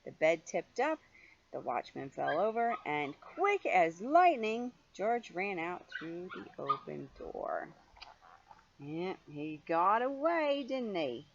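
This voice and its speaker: Older woman, grandmotherly storybook reader, lively and expressive pitch, slightly muffled home recording. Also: takes it slowly, a little hiss or hum. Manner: expressive, gently questioning the young listener. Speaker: grandmother reading a storybook to a child. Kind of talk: animated children's story reading with chatty asides.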